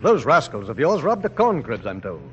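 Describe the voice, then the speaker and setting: Casting: genteel war-weary general, droll, lively intonation, muffled vintage radio sound. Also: male voice, medium pitch, normal speed. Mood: urbane, droll. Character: urbane, war-weary enemy general, drily humorous. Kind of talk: 1940s-50s radio drama acting. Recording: dull, noisy old radio recording.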